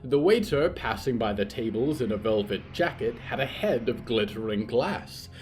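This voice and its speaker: A man narrating a scripted story in a deliberate, literary and theatrical manner, as for a fiction podcast. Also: medium pitch, lively intonation, darkish tone, soft background music.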